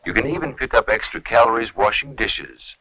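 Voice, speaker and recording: A man's voice: on the low side, expressive, a little fast, bright, forceful tone, breathy edge, low-quality recording. An American man reading scripted narration for a vintage educational film. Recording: 1970s educational film soundtrack, faint music.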